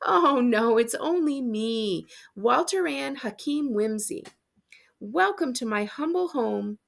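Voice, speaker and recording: A woman acts out an eccentric storybook aunt, a retired world adventurer full of warmth. The voice is high with a creaky edge, and the delivery is effusive and grandly theatrical. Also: lively intonation, takes it slowly, exaggerated voice. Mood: grandly welcoming.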